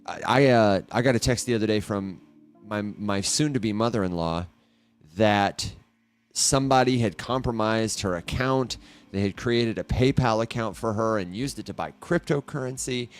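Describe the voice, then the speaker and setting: Low-pitched man chatting as podcast co-host. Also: normal speed, soft background music. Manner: conversational, asks questions, addresses his co-host by name. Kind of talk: casual podcast chat.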